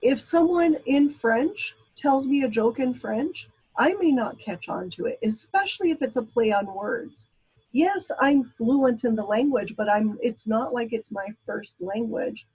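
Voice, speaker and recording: Slow chatty teacher lady from brazil, breathy voice, lots of ums. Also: a little high, lively intonation, darkish tone, rough recording. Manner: chatty, frequent ums and asides. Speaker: instructor. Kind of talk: classroom lecture. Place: classroom.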